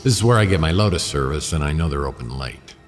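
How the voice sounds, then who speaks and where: A man's voice: a bass voice, expressive, fast, long reverb tail, faint music behind. An actor playing a wry, hard-boiled private investigator who narrates his case in a laconic noir radio drama style. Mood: wry, wary.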